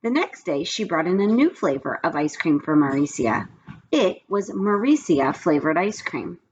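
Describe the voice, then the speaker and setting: A woman reading a storybook aloud to children as a teacher, with expressive, simple phrasing. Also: fairly low pitch, moderate pace, muffled recording, slight background noise.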